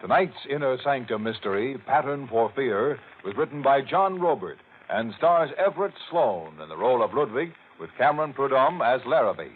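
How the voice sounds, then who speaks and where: A man's voice: mid-range voice, expressive, normal speed. A man making a scripted announcement as a 1940s radio announcer. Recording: tinny vintage radio, faint hiss.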